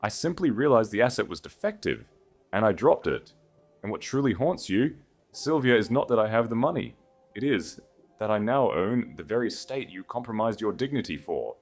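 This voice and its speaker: An icy noblewoman as performed by a male narrator speaks in a low register over faint music. She is smooth, deliberate and commanding, cutting people down in the manner of a period romance audiobook. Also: big pitch swings. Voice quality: quiet, smooth. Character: cold, composed noblewoman, quietly commanding and cutting.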